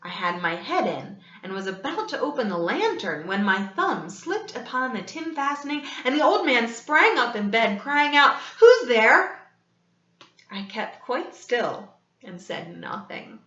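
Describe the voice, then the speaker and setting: A woman playing a gleeful, obsessive mad murderer who boasts of cunning, in a theatrical gothic story reading with deliberate pauses. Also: on the high side, very animated, bright, forceful tone, voice pushed up, full character voice. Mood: tense, excited. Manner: theatrical, deliberate pauses, repeats words with relish. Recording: slightly dull, roomy sound.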